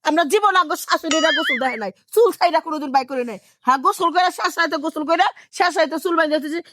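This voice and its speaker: Woman playing a shrill-pitched, scolding village mother-in-law. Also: racing, pushed and bright. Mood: indignant scolding. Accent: rural Bangladeshi Bengali. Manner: haranguing, repeating rhetorical questions. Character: scolding, old-fashioned, easily scandalised Bangladeshi village mother-in-law. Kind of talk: improvised-sounding domestic drama acting.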